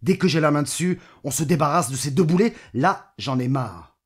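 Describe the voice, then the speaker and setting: A man acting a crooked town mayor in an audio drama. His voice sits a little high for a man, and he bullies with impatient, foul-mouthed scorn. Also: lively intonation, normal speed, husky. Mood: irritated, scornful.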